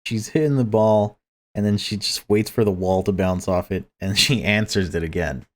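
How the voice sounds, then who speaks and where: A man's voice: fairly low pitch, moderate pace. A man hosting a playthrough, reading story narration aloud and adding casual commentary. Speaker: let's play host. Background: game music.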